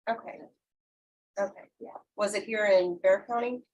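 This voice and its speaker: American woman attorney, medium pitch, informal courtroom cross-examination. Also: fairly even intonation, normal speed, a little mellow, dull audio, slight background noise.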